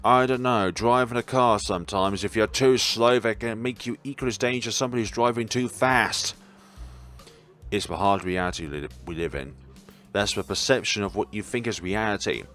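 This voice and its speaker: A man's voice: fairly low pitch, normal speed, a bit bright, soft background music. A man reading a visual novel aloud and adding casual, wry commentary as host of a playthrough. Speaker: gaming video host.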